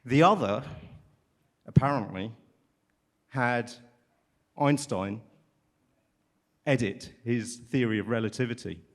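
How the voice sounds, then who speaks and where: A man introduces the next speakers at a conference with light jokes, pausing often on fillers. His voice sits at an average pitch. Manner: jokey, hesitant with many fillers. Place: large conference hall.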